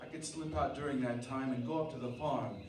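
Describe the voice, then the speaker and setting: A man's voice: mid-range voice, fairly even intonation, fairly quick, close to an everyday voice. A man acting a dogged, frightened husband and farmhand in old-time radio drama style, with a dramatic, urgent delivery. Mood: subdued, weary. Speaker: actor in a radio play. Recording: roomy, distant-miked, music underneath.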